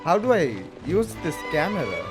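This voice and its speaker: A woman's voice: sits low, sing-song, moderate pace. A woman giving casual, playful commentary while playing a video game. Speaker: streamer reacting to a game. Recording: game music behind the voice.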